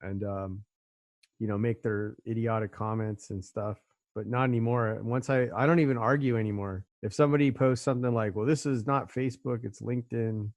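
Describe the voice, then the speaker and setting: A man's voice: on the low side, fairly even intonation, fairly quick, a little mellow, dry recording. A middle-aged man from California hosting a casual conversation, rambling a little with fillers and repeated words. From Orange County, California. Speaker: host.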